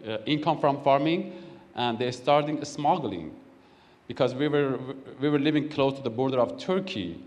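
A man's voice: mid-range voice, a little mellow. A man from Iran whose first language is Kurdish, speaking plain English with a Kurdish accent and many fillers as a guest on a church stage. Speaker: church leader. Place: large church hall. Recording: echoey church hall.

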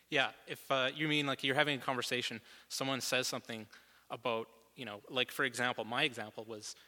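A man presenting part of a church lesson from notes, steady and methodical, with some fillers. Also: mid-range voice, fairly quick, long reverb tail. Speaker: guest presenter.

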